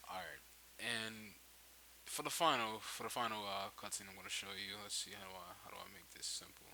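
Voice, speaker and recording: Male tutorial host, very bright and forceful tone, unscripted and halting, faint hiss on the recording. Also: on the low side, creaky, low-quality recording. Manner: halting, repeats and restarts phrases. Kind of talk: casual software tutorial, off the cuff. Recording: hissy home microphone recording. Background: steady hiss.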